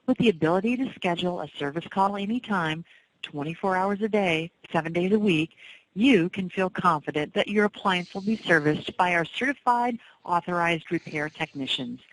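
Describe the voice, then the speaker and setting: Lower-voiced woman, scripted promo message. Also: moderate pace, a little hiss or hum, low-quality recording. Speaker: recorded phone-line announcer.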